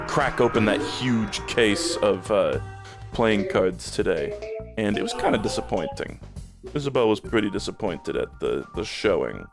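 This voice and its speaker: A man's voice: on the low side, gravelly. A man streaming a game, rambling in casual chat with plenty of swearing and fillers, a bit phlegmy in the throat. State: a little phlegmy.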